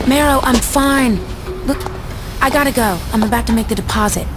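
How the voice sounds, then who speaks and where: A woman's voice: a little high, normal speed, background noise, close to an everyday voice. An actress playing a haughty, curt gangster's girlfriend in a video game, exasperated and scornful. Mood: brisk, confident. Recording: loud music behind the voice.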